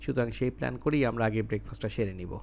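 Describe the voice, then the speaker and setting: A man working as a travel vlogger, talking casually to viewers in a halting way, thinking aloud as he explains. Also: medium pitch, gravelly.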